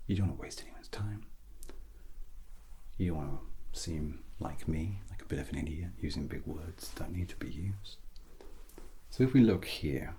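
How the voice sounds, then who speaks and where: A British man softly giving an ASMR English lesson as a teacher, breathy and often near a whisper, with an awkward, self-deprecating, gently encouraging manner. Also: deep voice, lively intonation, moderate pace, slight background noise. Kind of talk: softly spoken ASMR grammar lesson roleplay.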